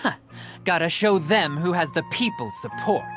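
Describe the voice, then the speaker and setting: A man's voice: high voice, moderate pace, close to an everyday voice. An actor voicing a brash, cheeky townsman in a video game, joking and defiant. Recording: faint music behind the voice.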